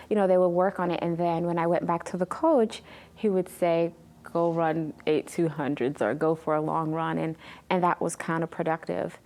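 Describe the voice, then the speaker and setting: A woman in her thirties, originally from Jamaica, telling her life story as an interview guest with frequent pauses and fillers. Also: on the low side, a little mellow. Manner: many ums and pauses, earnest retelling.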